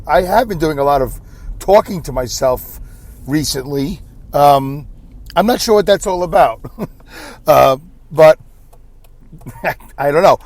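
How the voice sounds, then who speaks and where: Scratchy-voiced man rambling in a car. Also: a little high. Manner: self-deprecating, rambling, frequent throat clearing. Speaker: podcast host. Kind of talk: solo rambling podcast monologue. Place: inside a car.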